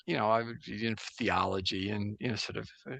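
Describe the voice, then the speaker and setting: A man playing a 17th-century Jesuit astronomer priest in a casual improvised interview over a video call, halting and full of restarts. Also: lowish voice, fairly even intonation, creaky, a bit bright, no echo, exaggerated voice. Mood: wry. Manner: halting, full of restarts and fillers, joking anachronisms. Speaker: guest in role-play.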